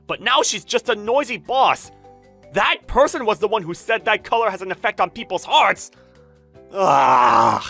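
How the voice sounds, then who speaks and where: A man's voice: medium pitch, sharp tone. A man voicing a stubborn, earnest young designer who narrates a manga dub and swings from reflective to exasperated. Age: young adult. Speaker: narrator and lead character. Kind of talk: anime-style manga dub, dramatized first-person narration.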